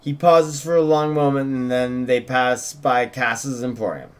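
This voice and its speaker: A man's voice: mid-range voice, fairly even intonation, measured pace, clean tone. A man co-hosting an informal table read, narrating stage directions from a script. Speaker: writer and co-host reading stage directions.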